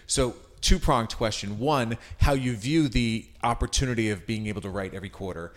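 A man's voice: medium pitch, moderate pace. A middle-aged American man hosts a casual interview in a mid-range voice. He is chatty and informal, setting up his questions with personal asides and compliments to his guest. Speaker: interviewer, host.

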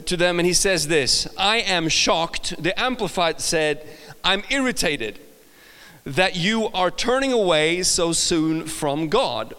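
A man's voice: a little high, sharp tone, clean tone. A man from Sweden with a mixed Swedish accent, preaching as a guest in a church, chatty and self-deprecating with many restarts. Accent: Swedish, mixed with British and American. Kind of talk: sermon. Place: large church hall. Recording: long hall reverb.

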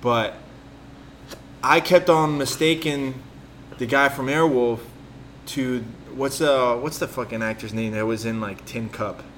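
A man of middle pitch hosts a laid-back podcast, wandering between tangents and dropping profanity as he talks. He often loses his thread mid-sentence. Faint background noise hums under the recording. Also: fairly even intonation, a little slow, a bit bright. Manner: rambling, profane, loses his train of thought. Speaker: podcast host. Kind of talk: casual chat.

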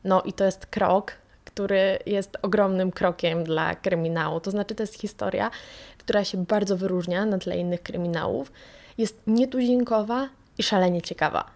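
A woman's voice: mid-range voice, normal speed, vocal fry, darkish tone. A woman presents a radio book review in an enthusiastic, conversational way as the host. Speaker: radio host recommending books.

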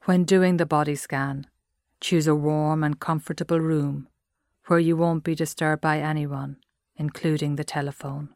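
A woman with an Irish accent gives measured, gentle guided meditation narration as a mindfulness instructor. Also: deep voice, steady tone, dry recording, clean studio sound.

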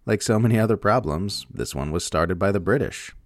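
A man's voice: lowish voice, expressive, fairly quick, dry recording, studio quality. A man reading a scripted political opinion essay aloud in an emphatic, sardonic way. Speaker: narrator reading political commentary.

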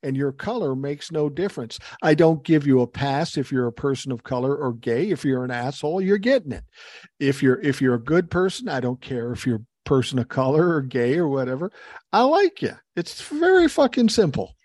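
A man's voice: mid-range voice, animated, brisk, darkish tone, dry recording, studio quality. A man in his sixties, a podcast guest on a video call, talking bluntly and emphatically with lots of swearing. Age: 60s. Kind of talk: casual chat over a video call.

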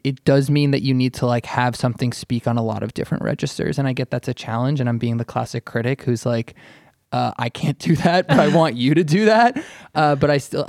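A man's voice: mid-range voice, darkish tone, no echo, clean studio sound. A man talking as a critic in a casual panel discussion, opinionated and emphatic. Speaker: podcast panelist, art critic.

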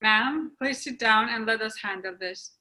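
A stern, commanding cop, played by a woman in a script reading. The voice is fairly high and bright, firm and insistent with every order. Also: a little slow. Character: stern, insistent police officer giving orders. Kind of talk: screenplay table read.

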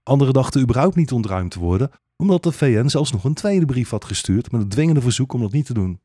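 A man's voice: mid-range voice, lively intonation, quick pace, warm tone, no room sound, studio quality. A man narrating a satirical fairy-tale radio play as a storyteller.

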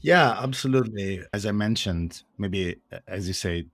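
A man's voice: on the low side, moderate pace, dry recording, studio quality. A man with an Italian accent, a podcast guest explaining his work at length in an earnest way, with frequent hesitations and fillers. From Italy. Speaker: guest, open source foundation director. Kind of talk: podcast interview answers.

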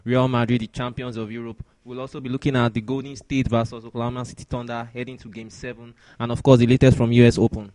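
A man's voice: mid-range voice, flat delivery, fast talker, hardly any pauses, darkish tone, no echo. A man hosting a sports podcast, talking through news and results as commentary. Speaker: podcast host.

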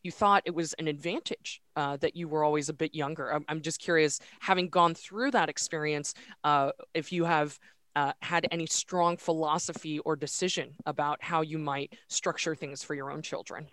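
Podcast host, thoughtful questions, smooth clear voice, dry close sound. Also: medium pitch, steady tone, normal speed, a bit bright, no room sound. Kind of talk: interview questions.

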